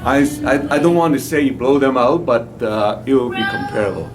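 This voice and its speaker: Male trade show exhibitor, hesitant informal pitch, average-pitched voice, music playing behind. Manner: hesitant, halting delivery with frequent fillers. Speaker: exhibitor presenting his company's products. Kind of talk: informal product pitch in an interview. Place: demo room at an audio show.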